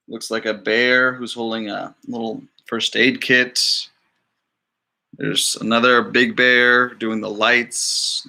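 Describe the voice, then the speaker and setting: A man speaking as a storytime librarian, giving expressive, explanatory chatty asides during a children's picture book read-aloud. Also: mid-range voice.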